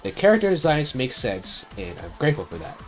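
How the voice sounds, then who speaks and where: Animated man giving blunt game commentary. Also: fairly high pitch, a little fast, faint music behind. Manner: blunt, casual, swearing. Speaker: video game review host. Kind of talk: opinionated review commentary.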